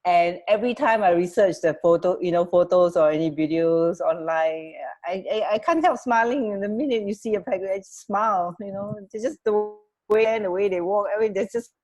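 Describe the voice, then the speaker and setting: A woman co-hosting a radio show in casual chat, halting as she searches for words. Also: medium pitch, darkish tone.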